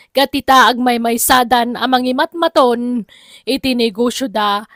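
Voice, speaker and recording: A woman narrates an Ilocano drama as its storyteller. Her voice is fairly high, and she speaks in a radio storytelling style. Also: a bit bright, dry recording, studio quality.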